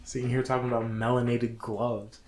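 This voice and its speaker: A woman's voice: very deep voice, flat delivery, normal speed, a little mellow, slight background noise. A woman co-hosting a podcast, chatting casually and sometimes searching for words. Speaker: podcast co-host. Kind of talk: casual chat.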